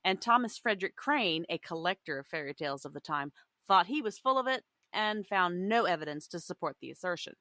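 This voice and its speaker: A woman's voice: fairly low pitch, lively intonation, fairly quick, bright, forceful tone, no room sound. A woman co-hosting a podcast discussion, moving between prepared notes and casual chat. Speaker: podcast co-host.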